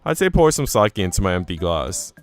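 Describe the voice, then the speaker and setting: A man's voice: deep voice, big pitch swings, a little fast, faint music behind. A man narrating a story from a visual novel, reading both the prose and the dialogue aloud.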